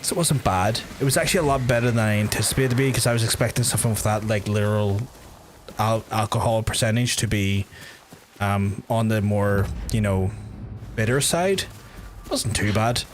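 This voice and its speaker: A man's voice: on the low side, brisk, a little hiss or hum. A young Irish man chatting casually while livestreaming a video game, rambling with fillers and casual swearing. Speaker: game streamer.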